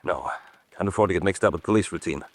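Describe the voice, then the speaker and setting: An actor playing a determined, quick-witted gentleman on the run in a radio drama, clipped, purposeful and polite. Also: deep voice, slightly rough, dry recording. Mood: grave, determined. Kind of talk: old-fashioned radio drama acting.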